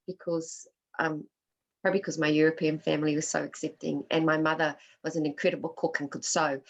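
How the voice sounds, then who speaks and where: A woman's voice: fairly low pitch, a little mellow, highs cut off. A woman who grew up in Australia, leading a workshop and answering questions on a video call, speaking in a reflective, personal way with Māori words mixed into her English. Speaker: workshop facilitator.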